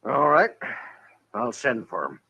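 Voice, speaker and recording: A man acting a blustering, crooked American rancher in a radio Western, with a raspy voice and folksy drawl. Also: medium pitch, big pitch swings, slow pace, bright, forceful tone, heavily acted. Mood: grudging, indignant. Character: blustering, crooked hotel-owning rancher in the Old West. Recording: muffled old radio recording.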